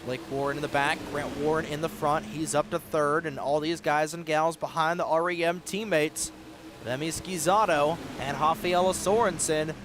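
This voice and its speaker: A man doing play-by-play commentary for an online racing league, excitable and quick, with a slightly raspy voice. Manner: excitable, fast-moving play-by-play with sudden rises in pitch at close calls. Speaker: race commentator. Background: race car engine noise.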